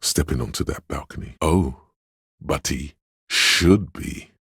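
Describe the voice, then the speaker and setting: A man voicing the empathetic inner voice of a troubled detective in a video game, narrating in a measured, intimate, knowing way with a husky tone. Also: rumbling low, a bit bright, no echo, clean studio sound, heavily acted. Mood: gentle, encouraging, then urging, assured. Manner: measured, intimate, weighty delivery.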